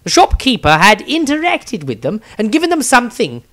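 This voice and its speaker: A man's voice: very high-pitched, wildly swooping pitch, brisk, a bit bright, voice pushed up, exaggerated voice. A man voicing an eccentric, grumbling wizard diarist in a fantasy story, theatrical, rambling and wry. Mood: indignant, chatty. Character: eccentric, grumbling, self-satisfied male wizard adventurer diarist.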